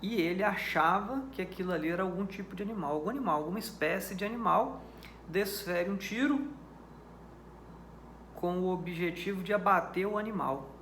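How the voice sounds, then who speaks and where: A man's voice: sits high, fairly bright tone, echoey room, a little hiss or hum. A Brazilian man teaching criminal law in a lecture, explaining points step by step, repeating key ideas and checking that listeners follow. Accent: Brazilian Portuguese. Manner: didactic, repeats key points, checks understanding with rhetorical questions.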